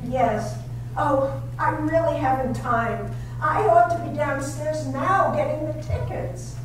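An amateur actress in a staged radio drama reading, playing a shy young woman who speaks hesitantly and softly, full of self-doubt. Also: high voice, slow pace, slight background noise. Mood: anxious. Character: shy, timid young female paid companion. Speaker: amateur readers theater actress. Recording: echoey hall, far from mic.

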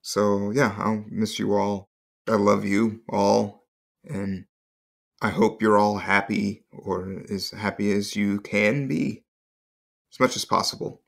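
An actor in an audio play playing an awkward, heartbroken, tender young father who speaks haltingly and corrects himself. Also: fairly low pitch, fairly even intonation, vocal fry, fairly bright tone, full character voice. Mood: awkward, sad. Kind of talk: naturalistic comedy-drama acting.

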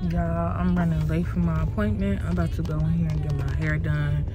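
A woman's voice: low-pitched, level tone, a little fast, over music. A young woman chatting casually and informally to her viewers as a vlogger, with emphatic delivery. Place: inside a car. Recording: car heater and road noise behind.

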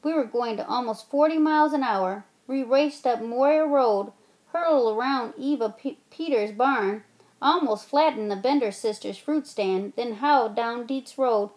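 A woman's voice: high voice, moderate pace, few pauses, darkish tone, pitched above the performer's own voice, close to an everyday voice, poor audio. A woman voicing a crowing old aunt. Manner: crowing, folksy drawl. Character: mischievous old aunt, a proud storyteller.

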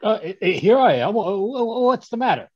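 A man's voice: high voice, very animated, moderate pace, a little mellow, husky, muffled recording, heavily acted. A man playing a flustered, bumbling doctor who stammers his way through an old-style radio play. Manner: hesitant, stammering. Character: flustered, bumbling male doctor, a detective's companion. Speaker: actor. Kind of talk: 1930s radio acting.

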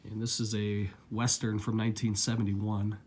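A man with a lingering cold, casually chatting through a relaxed, rambling unboxing of his new discs, recorded indoors at home. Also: fairly low pitch, moderate pace, slight background noise. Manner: relaxed, rambling, filler-heavy. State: getting over a cold, coughing. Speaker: collector vlogger.

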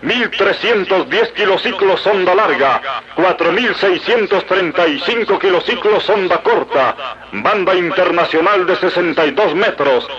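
A man's voice: high-pitched, lively intonation, a little slow. A man announcing a vintage radio station identification. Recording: dull old radio recording, crowd noise.

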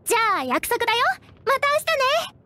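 A woman voicing a cheerful, eager teenage girl scout knight in an anime game, perky, earnest and expressive. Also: extremely high pitch, brisk, no room sound, heavily acted. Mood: friendly. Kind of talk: Japanese anime game voice acting.

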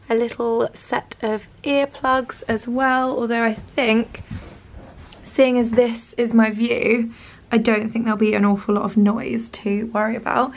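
A young woman in her twenties vlogging to a handheld camera in a small bedroom, chatty and enthusiastic, her voice husky because she has lost it. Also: a little high. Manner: chatty, enthusiastic, lots of "really, really". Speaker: lifestyle vlogger. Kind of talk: casual vlog to camera. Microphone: handheld camera at arm's length.

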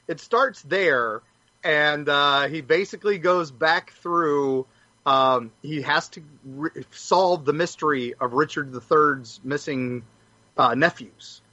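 A man on a podcast panel telling a story casually in a halting, stop-start way. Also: on the high side, sharp tone, no echo, lo-fi sound. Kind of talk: casual fan discussion.

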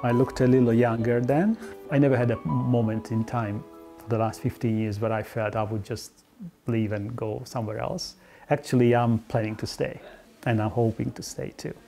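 A man's voice: mid-range voice, creaky. A middle-aged man from Hungary, speaking in an earnest, upbeat interview tone with small restarts, on a lapel mic in an office. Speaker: interviewee, Olympic organizing expert. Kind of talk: relaxed interview talk. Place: indoor office.

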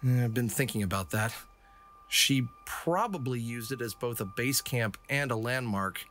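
A man playing a quick-witted, confident fox adventurer, casual and wisecracking, in an audio drama. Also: medium pitch, expressive, fairly bright tone, slight background noise, close to an everyday voice. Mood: casual, explaining. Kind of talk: animated audio drama acting.

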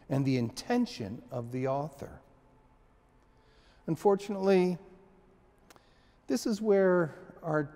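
A man in his sixties preaching a sermon in a church sanctuary, slow and measured, with frequent restarts and emphatic pauses. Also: a little high, a little mellow, big-room sound. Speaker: pastor.

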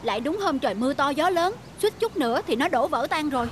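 A woman voicing a young wife in a cartoon, talking quickly and high-strung. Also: lively intonation, a little hiss or hum. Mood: alarmed. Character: young wife, fretful and impatient. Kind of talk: cartoon voice acting.

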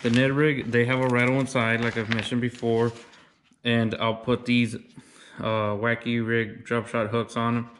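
A man in his thirties casually showing his fishing gear as a vlogger, relaxed and plainspoken, saying "y'all". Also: medium pitch, normal speed. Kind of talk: casual show-and-tell. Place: indoors, then a wooden deck.

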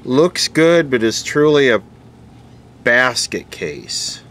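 A man's voice: mid-range voice, takes it slowly. A man giving casual, off-the-cuff repair commentary, muttering and thinking aloud as he works. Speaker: host repairing vintage electronics on camera. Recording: steady background noise. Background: steady background noise.

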